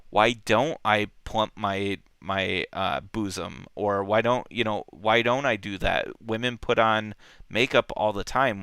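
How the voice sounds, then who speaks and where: A man's voice: fairly low pitch, sharp tone, clear, resonant tone, no echo, clean studio sound. A man casually discussing a book as a host, rambling and thinking aloud as he goes. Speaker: book club podcast host.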